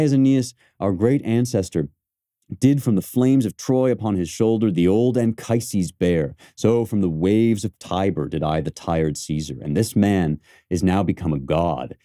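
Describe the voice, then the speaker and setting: Guy reciting as a bitter proud roman plotter, shakespeare verse, very mellow low voice, emphatic, really dry sound. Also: dry recording. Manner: rhetorical, emphatic. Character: proud, resentful Roman conspirator stirring a comrade.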